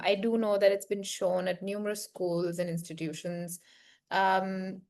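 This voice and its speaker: A woman's voice: mid-range voice, darkish tone. Friendly woman interviewer. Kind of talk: interview questions.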